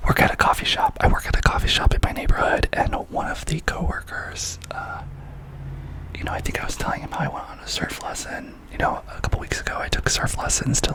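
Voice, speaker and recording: A young man from Massachusetts, whispering a rambling ASMR chat as the host of his channel, with many fillers and repeated phrases. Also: mid-range voice.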